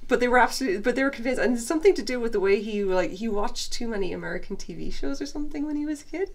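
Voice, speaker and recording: A woman's voice: fairly high pitch, expressive. A woman with an Irish accent, chatting casually as host of a live crafting stream. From Canada. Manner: chatty, quick asides and laughs. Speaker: livestream host. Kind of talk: casual chat.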